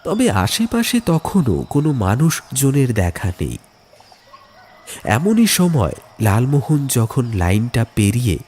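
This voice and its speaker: A man narrating a scripted ghost story in a suspenseful, deliberate way with dramatic pauses. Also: medium pitch, a little mellow, a little hiss or hum.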